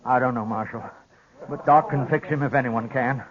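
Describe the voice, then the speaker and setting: A man's voice: mid-range voice, steady tone, normal speed, a crowd in the background, close to an everyday voice. An actor playing a stubborn, grim old rancher father in a 1950s radio western, blunt and commanding, with a rural Western American accent. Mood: grim, determined. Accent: rural American West. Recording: dull lo-fi old radio recording.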